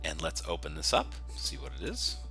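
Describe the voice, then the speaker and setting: Deep, very bright-voiced man explaining. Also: huge pitch swings, moderate pace, soft background music. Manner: casual, methodical step-by-step explaining. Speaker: software developer giving a tutorial. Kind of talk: screencast coding tutorial, explanatory and unscripted.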